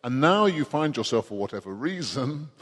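A man's voice: mid-range voice, big pitch swings, moderate pace, fairly bright tone. A man conducting an interview, asking long, winding questions with a dry wit. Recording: long hall reverb.

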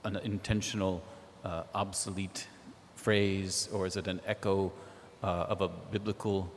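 A man talking as a literary translator on a panel, thoughtful and hesitant, with many pauses and ums. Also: low-pitched, little pitch movement, slight background noise. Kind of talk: panel discussion. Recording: echoey hall.

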